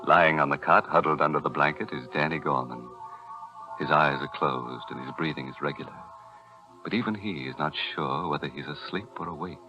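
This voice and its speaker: Vintage horror anthology host: low, macabre, tongue-in-cheek, with music underneath. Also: male voice. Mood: ominous. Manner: mock-sinister, tongue-in-cheek. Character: ghoulish, gleefully punning male horror host. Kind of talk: 1940s radio horror hosting. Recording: muffled old radio recording, music behind.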